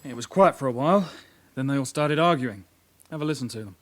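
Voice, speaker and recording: An actor playing a young British detective constable in a radio drama, a calm sidekick with a measured, official way of talking. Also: male voice, mid-range voice, lightly acted. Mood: matter-of-fact. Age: young adult.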